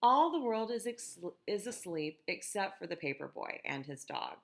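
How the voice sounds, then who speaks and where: An American woman reading a picture book aloud to children in a gentle, expressive teacher's manner, with conversational asides. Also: mid-range voice, normal speed, vocal fry. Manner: gentle, expressive, asks listeners questions.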